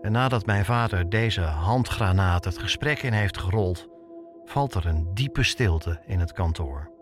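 A man's voice: sits low, lively intonation, unhurried, over music. A man in his fifties narrating a personal podcast from a script, as its host and reporter. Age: 50s.

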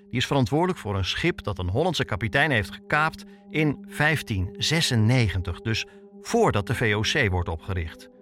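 A man with a Netherlands Dutch accent narrating a scripted personal documentary as a reporter, reflective and posing questions to himself. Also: lowish voice. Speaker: reporter and podcast host. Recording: music bed under the voice. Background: music.

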